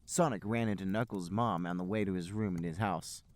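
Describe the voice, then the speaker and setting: A man's voice: deep voice, fairly quick, no echo. A man hosting a podcast, reading comedic narration aloud. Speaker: podcast host and reader.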